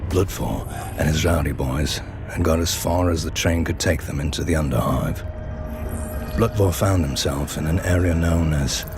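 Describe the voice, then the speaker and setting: An actor playing a scheming underworld storyteller who narrates a game's story in a wry, measured way. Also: male voice, very deep voice, gravelly. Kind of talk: in-character game story narration. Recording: loud music bed behind narration.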